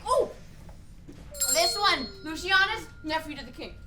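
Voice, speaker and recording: A woman plays a mocking, manic young prince in a Shakespearean radio drama. The voice is high and leaps about, full of sardonic exclamations. The recording is plainly noisy. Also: expressive, a little slow. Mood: gleeful mockery. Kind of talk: theatrical Shakespearean radio play acting.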